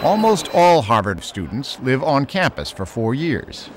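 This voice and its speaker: A man's voice: medium pitch, sing-song, unhurried, very noisy. An American man reading scripted documentary narration.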